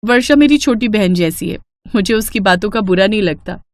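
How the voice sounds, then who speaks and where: A woman's voice: mid-range voice, lively intonation, a little fast, lightly acted. A woman voicing a sweet, deferential young bride in a cartoon family story, polite and conciliatory. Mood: gentle.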